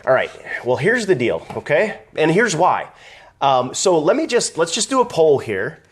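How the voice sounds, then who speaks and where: A man's voice: a little high, very animated, moderate pace, slightly rough. Chatty man hosting a live stream. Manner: chatty, greets viewers by name, ranting. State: slightly raspy from allergies. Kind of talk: casual live video chat.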